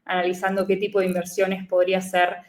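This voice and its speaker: Argentine woman talking in a flat tone. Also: on the low side, quick pace, roomy sound. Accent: Argentine Spanish. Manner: relaxed, with frequent fillers. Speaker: guest, venture capital investor. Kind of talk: casual interview talk.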